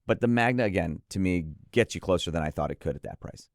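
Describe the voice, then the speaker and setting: Deep voiced guy doing a chatty enthusiastic product review, super dry close sound. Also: lively intonation, fast, vocal fry, no room sound. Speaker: headphone reviewer co-hosting a product preview.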